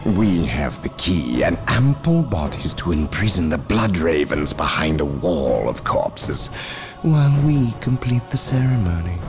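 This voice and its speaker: A man playing a sinister, contemptuous dark warlord in a video game, gravelly, menacing and disdainful. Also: deep voice, sing-song, unhurried, music in the background. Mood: cold contempt. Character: sinister chaos lord and sly sorcerer, contemptuous villains.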